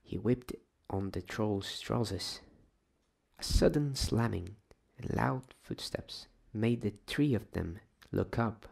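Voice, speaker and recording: A man reading a story aloud in a soft, hushed, breathy ASMR style, slipping at times into whispers. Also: on the low side, slow pace.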